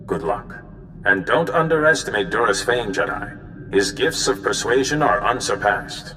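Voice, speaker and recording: A man playing a solemn, worried Jedi mentor in a video game, speaking in measured explanations. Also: fairly low pitch, animated, pushed and bright. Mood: urgent, foreboding. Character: grave, worried male Jedi mentor giving orders. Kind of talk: cinematic video game dialogue. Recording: hall reverb, faint background noise.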